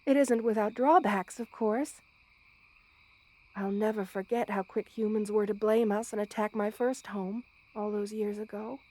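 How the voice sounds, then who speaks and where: High-voiced motherly spider queen, acted by a woman. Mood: tender, protective. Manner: tender, nurturing, gently regal, addresses listener with endearments. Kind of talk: ASMR roleplay, intimate character acting.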